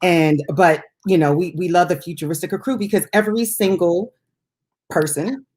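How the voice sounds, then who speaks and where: A woman's voice: fairly low pitch, normal speed, a little mellow. A woman co-hosting a casual, unscripted talk show, chatting in an enthusiastic way. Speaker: music talk show co-host. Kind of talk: casual unscripted music chat.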